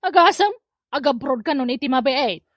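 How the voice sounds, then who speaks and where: A woman's voice: high-pitched, expressive, moderate pace, fairly bright tone, dry recording, clean studio sound. A woman playing a nosy, giggly village gossip who is easily offended, in Ilocano comedy drama, with an Ilocano accent. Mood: gleeful, gossipy.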